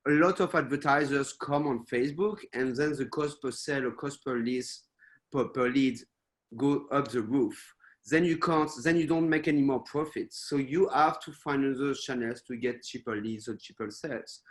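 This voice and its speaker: Male guest speaker, French accent, hesitant webinar delivery over a call mic. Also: medium pitch. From France. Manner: halting, many fillers and restarts. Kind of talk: presenting to a webinar. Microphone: video call.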